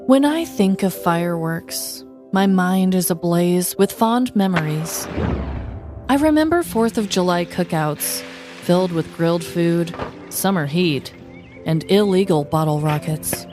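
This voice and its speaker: A woman's voice: on the low side, expressive, unhurried, music in the background. An American woman co-hosting a podcast, moving between casual banter and scripted comedic storytelling. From United States. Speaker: podcast co-host.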